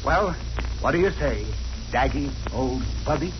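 An actor playing a blustering, greedy, scheming older boss in a 1940s radio comedy, exclamatory, mocking and wheedling. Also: male voice, medium pitch, expressive, measured pace, lightly acted. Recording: muffled, noisy 1940s radio recording.

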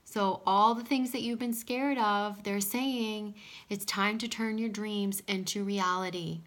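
Female card reader, bright voice roughened by pollen, gentle and reassuring, recorded at a table in a small home room. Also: mid-range voice, steady tone, unhurried. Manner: soft-spoken, drifting into whispers, frequent "okay?". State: scratchy voice from pollen. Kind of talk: casual oracle card reading.

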